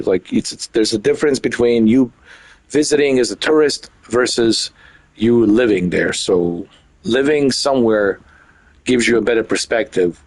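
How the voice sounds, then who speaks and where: A man's voice: darkish tone, dry recording, poor audio. A man in his fifties, originally from Europe, chatting loosely and repetitively while streaming a game. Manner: loose and repetitive, full of "like" and "dude"; mimics others in a high mocking voice. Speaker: gaming streamer. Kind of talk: casual rambling chat.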